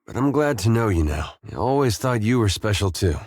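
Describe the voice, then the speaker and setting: An actor with an American accent playing a gentle, weary farmer turned soldier in a video game, speaking with a folksy, plainspoken drawl. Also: male voice, fairly low pitch, big pitch swings, fairly quick, dry recording, clean studio sound. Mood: warm, sincere. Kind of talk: video game character dialogue.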